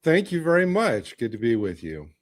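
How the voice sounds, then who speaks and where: A man's voice: medium pitch, wildly swooping pitch, moderate pace. A man talking casually as a guest in a fan discussion podcast.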